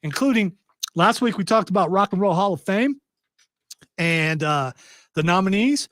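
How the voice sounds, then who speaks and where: A joking, rambling man hosts a live talk show from a small studio. His voice sits a little high, and the sound is dry and close to the mic. He sounds relaxed and chatty. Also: normal speed, dry recording. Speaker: podcast host. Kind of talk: casual live show chat.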